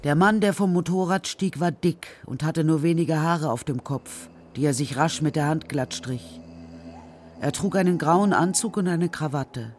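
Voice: mid-range voice, normal speed, a little mellow, a little hiss or hum. A narrator telling a children's story in a scripted, literary radio play style. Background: music.

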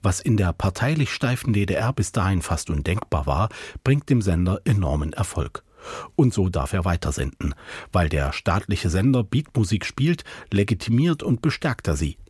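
A man's voice: low-pitched, very animated, normal speed, a little mellow, slightly rough, no room sound, clean studio sound. A man reading scripted documentary narration as a radio reporter.

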